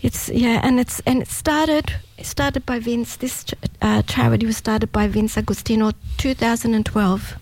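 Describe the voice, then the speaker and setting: A woman's voice: fairly high pitch, fairly even intonation, moderate pace, hardly any pauses, no echo, poor audio. Bubbly woman radio host. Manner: warm, switches between Italian and English, laughs often. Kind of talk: bilingual community radio chat.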